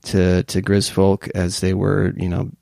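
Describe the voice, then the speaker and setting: A man's voice: low voice, completely flat delivery, measured pace, vocal fry, a little mellow, dry recording, studio quality. A man hosting a podcast, talking casually with lots of fillers and friendly questions. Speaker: podcast host. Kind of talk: casual interview and spoken intro.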